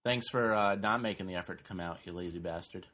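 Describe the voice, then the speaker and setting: A man's voice: sits low, quick pace, sharp tone. A man in his thirties hosting a casual podcast, dry and sarcastic, talking in a laid-back way with lots of fillers. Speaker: podcast host.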